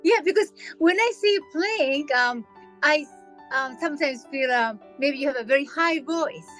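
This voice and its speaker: A Japanese woman pianist interviewing a guest in English, eager and quick with short agreements. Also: sits high, expressive, a bit bright, soft background music, rough recording. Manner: enthusiastic, lots of short affirmations. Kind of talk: casual interview chat.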